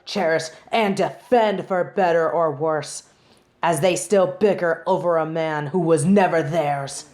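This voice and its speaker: A woman's voice: on the low side, a little mellow, lightly acted. A woman playing a haughty, sardonic young female judge in a dramatic audio roleplay, speaking in formal, imperious, theatrical phrases. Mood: scornful, commanding. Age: young adult.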